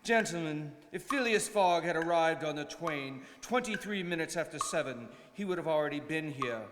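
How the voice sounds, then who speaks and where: A man playing a pompous, confident gentleman club member in a radio drama. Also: fairly high pitch, expressive, moderate pace, lightly acted. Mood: smug. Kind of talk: stage radio drama acting. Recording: reverberant hall, clear noise, clicks.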